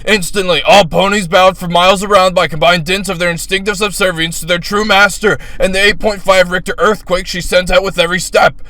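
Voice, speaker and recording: A man giving a bombastic, grandiose dramatic reading of a comic fan story in a strained, loud delivery. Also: sits high, fairly even intonation, brisk, hardly any pauses, no room sound. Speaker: fan fiction reader.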